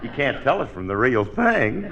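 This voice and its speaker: An actor playing an insecure, boastful bandleader husband in a 1950s radio comedy, with brash, folksy, ungrammatical speech. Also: male voice, mid-range voice, big pitch swings, moderate pace, lo-fi sound, close to an everyday voice. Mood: wisecracking. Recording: noisy old radio comedy recording.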